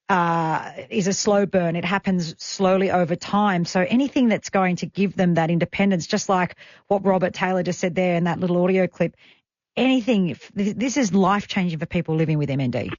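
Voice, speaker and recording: A woman's voice: lowish voice, no room sound. Aussie woman radio host, enthusiastic and chatty, dry close sound, bit dull broadcast audio. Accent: Australian. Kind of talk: live radio interview. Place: radio studio.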